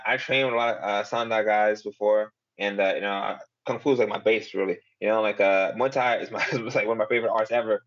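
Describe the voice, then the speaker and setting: A man's voice: medium pitch, lo-fi sound. A young adult man, a fighter, giving casual interview answers in a rambling way, full of fillers and short laughs. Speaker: MMA fighter.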